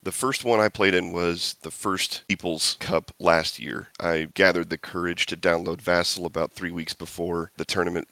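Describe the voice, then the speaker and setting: A man's voice: low-pitched, a bit bright, dry recording. A man chatting as a podcast guest about his tabletop game strategy, rambling and correcting himself with enthusiasm. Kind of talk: casual interview chat.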